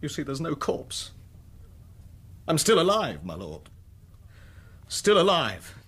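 Husky, halting husband back from the dead. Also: male voice, a little high, huge pitch swings, a bit bright, full character voice. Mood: earnest, insistent. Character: supposedly dead husband revealing himself in court. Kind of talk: 1980s radio drama acting. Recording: archive radio drama recording.

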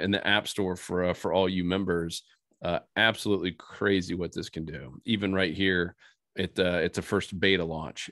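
A man talks over a video call with eager enthusiasm, breaking into quick bursts of praise. His voice is low and the sound is dry. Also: fairly bright tone, no echo. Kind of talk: excited casual chat on a video call.

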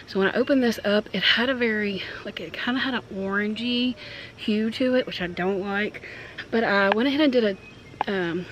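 A woman in her thirties talks casually to her camera out on a porch, in a medium-pitched voice. She is informal and a bit self-deprecating, like a home vlogger chatting to viewers. Outdoor ambient noise sits faintly behind her. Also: normal speed, fairly bright tone, poor audio. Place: outdoors on a porch and wooden ramp.

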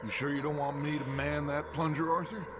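A man's voice: on the high side, flat delivery, fairly quick, noisy background, natural voice. A man playing a scheming, theatrical outlaw gang leader in a video game cutscene. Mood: coaxing. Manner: grandiose, persuasive, theatrical. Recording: game audio with loud score.

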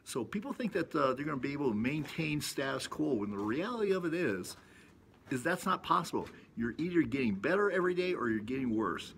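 A man's voice: a little high, expressive, a little fast, gravelly, fairly bright tone, a little hiss or hum. An older man hosting a casual vlog, rambling in a folksy, self-amused way while filming himself on a phone outdoors in a shaded rocky canyon. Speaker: vlog host. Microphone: phone camera at arm's length.

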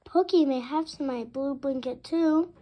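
A woman's voice: sits high, normal speed, highs cut off, lightly acted. A woman voicing a small, earnest, sweet puppy in a storybook read-aloud. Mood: helpful. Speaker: guest reader.

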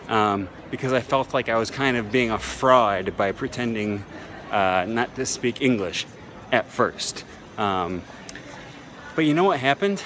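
Chatty man vlogging on a city street. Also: mid-range voice. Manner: relaxed and chatty, with many ums and uhs. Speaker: language-learning vlogger. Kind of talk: casual selfie vlog monologue. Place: busy city streets and square outdoors. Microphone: phone camera held at arm's length. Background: faint street and traffic noise.

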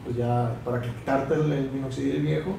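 A man's voice: medium pitch, steady tone, moderate pace, creaky. A young man with a Sinaloa Mexican accent, a barber chatting on a podcast and explaining at length with many fillers, recorded by a far camera in a small room. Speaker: barber guest. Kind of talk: casual podcast chat. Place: small room with a mirror, indoors. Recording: echoey room, mic far off, background hiss.

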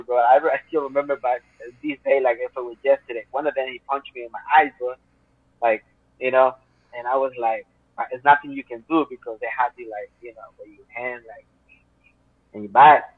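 A man's voice: medium pitch, fairly even intonation, brisk, dry recording. Interview guest: Spanish-accented man in his 30s on a dry, tinny video call, earnest and rambling. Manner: earnest, rambling, full of fillers. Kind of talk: personal storytelling in interview. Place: home room. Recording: tinny phone-like call line.

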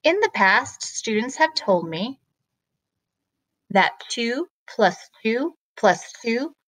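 A woman, a math teacher, explaining step by step to children in a deliberate, questioning way. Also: fairly high pitch, very animated, fairly bright tone, poor audio.

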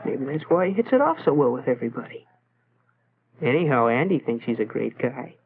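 An actor playing a lonely, self-doubting teenage schoolboy, musing to himself in a brooding way in a 1940s educational film style, on a tinny, noisy soundtrack. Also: male voice, medium pitch, sing-song, fairly quick, creaky, slightly rough, full character voice. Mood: pondering. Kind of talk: 1940s educational film inner-monologue narration.

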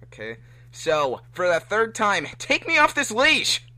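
Man as young hedgehog hero, highish voice, brash and whiny, animated intonation, casual, fan fiction reading. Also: a little slow, full character voice. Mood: whiny, annoyed.

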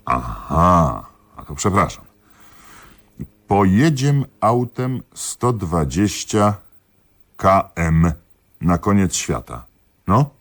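Very deep, husky, sceptical police investigator. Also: male voice, sing-song, heavily acted. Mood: puzzled, sceptical. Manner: questioning, dry, reads verse aloud slowly. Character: dogged male police investigator, sceptical, puzzled, politely exasperated. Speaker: actor in a radio play. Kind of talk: theatrical radio comedy dialogue.

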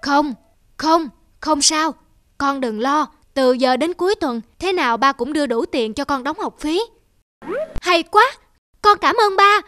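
A woman with a bright, youthful voice, voicing several characters in a cartoon. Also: high-pitched, lively intonation, measured pace.

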